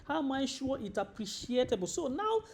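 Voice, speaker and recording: A man with a Nigerian accent giving a training presentation as a sales coach, instructive and deliberate, posing rhetorical questions. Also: very high-pitched, sing-song, gravelly.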